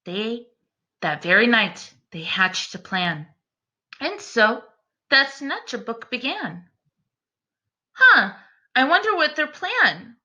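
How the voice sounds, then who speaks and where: A woman teacher reads aloud to children. Her voice is fairly high and bright, with very animated intonation. She sounds encouraging and speaks directly to young listeners. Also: slow, pauses often, highs cut off. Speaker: teacher reading a picture book aloud. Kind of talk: expressive children's read-aloud with questions.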